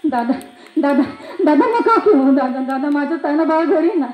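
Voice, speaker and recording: A woman's voice: sits high, expressive, normal speed, a little mellow. A woman playing an anxious young milkmaid mother in a stage play, emphatic and pleading, with a rural Maharashtrian accent. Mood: desperate pleading. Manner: emphatic, pleading, repeats calls and words. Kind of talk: theatrical one-act play monologue. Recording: echoey room.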